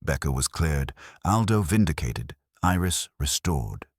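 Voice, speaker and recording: Male narrator for first-person mystery fiction: extremely deep register, lively intonation. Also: unhurried, husky, dry recording, clean studio sound. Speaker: audiobook narrator. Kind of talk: scripted first-person detective story narration.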